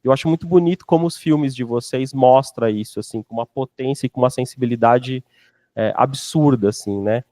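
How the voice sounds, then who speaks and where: A man moderates an academic panel, speaking in a loose, discursive way with many fillers. His voice sits at a mid pitch, and the sound is dry. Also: brisk, mellow, no room sound.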